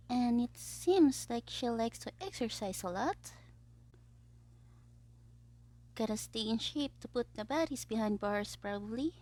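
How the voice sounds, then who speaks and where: A woman's voice: a little high, dark tone. A woman playing a smug, theatrical young supervillain who schemes playfully in an audio roleplay diary. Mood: smug scheming. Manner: theatrical, playful, self-satisfied, drifting into conspiratorial whispers.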